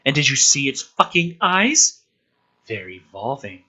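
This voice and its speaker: Man reading as an intense, hushed scientist. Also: medium pitch, big pitch swings, husky, voice pushed down, heavily acted. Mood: earnest, intense. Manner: measured, hushed, deliberate dramatic reading.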